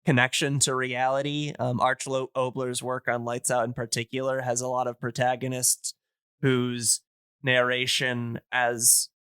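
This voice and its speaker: A man co-hosting a casual podcast discussion, explaining things in a halting, digressive way. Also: medium pitch, level tone, fairly bright tone, clear, resonant tone, dry recording, studio quality. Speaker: podcast co-host explaining radio history.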